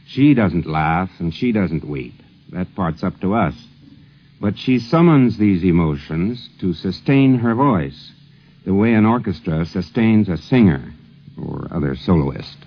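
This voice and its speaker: A man hosting a radio program, giving measured, reflective scripted commentary. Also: deep voice, expressive. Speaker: radio host. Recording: dull vintage radio recording.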